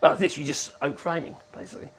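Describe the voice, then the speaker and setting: A man's voice: on the high side, steady tone, racing, fairly bright tone, poor audio. A man, hosting a casual woodworking livestream. He rambles in a chatty way and coos to his puppy in a sing-song voice. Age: 50s.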